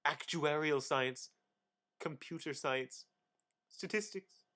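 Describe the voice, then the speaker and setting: A man giving an amateur dramatic reading of encyclopedic text, mock-grandiose, with sudden emphatic swells and theatrical pauses. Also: a little high, sharp tone. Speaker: amateur reader.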